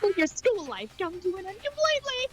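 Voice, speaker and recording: A woman's voice: very high voice, big pitch swings, a little fast, faint music behind, pitched above the performer's own voice. A woman voicing a mocking, sadistic robot bear mascot from a video game, taunting in a sing-song way. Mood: mocking glee.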